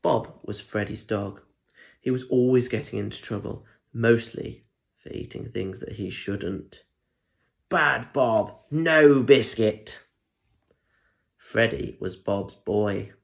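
A man's voice: lowish voice, creaky. A young man with a British accent reading a children's picture book expressively, chatting directly to listeners with asides. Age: young adult. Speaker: primary school story reader. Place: small indoor room at home. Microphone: phone or tablet at arm's length.

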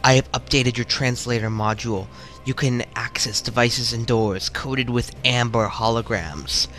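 A man voicing a solemn dead alien temple sentinel with a put-on British accent, reading in a grave, measured, formal way. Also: mid-range voice, a bit bright, slight background noise, close to an everyday voice.

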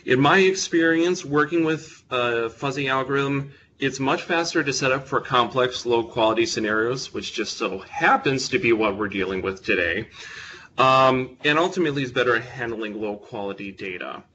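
A young man with a bright, forceful voice presents to a room, talking casually and joking at his own expense. He stands close to his mic, so the sound is full, though the recording is a little dull with faint room noise underneath. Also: mid-range voice, normal speed. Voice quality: big voice. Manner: conversational, self-deprecating humor. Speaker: presenter at a user group meeting. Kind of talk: technical talk to a live audience. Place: meeting room with an audience. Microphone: close microphone.

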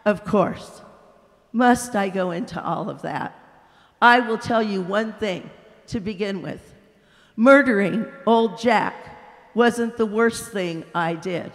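A woman voicing a humble male spirit, speaking calmly and earnestly. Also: medium pitch. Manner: calm, earnest, slow. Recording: echoey hall.

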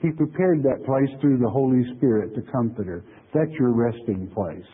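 A man pastor giving a folksy, conversational sermon, reading verses slowly and then explaining them plainly, often checking in with "okay?". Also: mid-range voice, slightly rough, narrow, tinny audio. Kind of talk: sermon lecture on scripture.

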